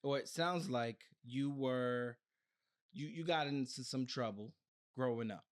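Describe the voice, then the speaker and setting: An American man hosts a conversational podcast interview. His voice sits at a middle pitch for a man. He speaks informally, as an interviewer talking with a guest. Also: a little slow. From Brooklyn, New York, United States.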